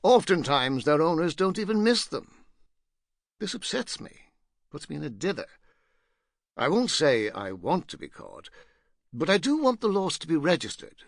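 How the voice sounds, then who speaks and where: A man's voice: on the high side, very animated, normal speed, vocal fry, long pauses, dry recording, clean studio sound, pitched above the performer's own voice. A man narrating a literary audiobook as a rueful, self-mocking, pompous ex-painter nearing fifty, fussy and digressive in manner. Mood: wry, confiding.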